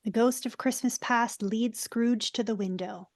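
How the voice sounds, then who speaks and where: Woman narrator, higher register, delivering scripted scene descriptions for a live play reading. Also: steady tone, measured pace. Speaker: narrator reading stage directions.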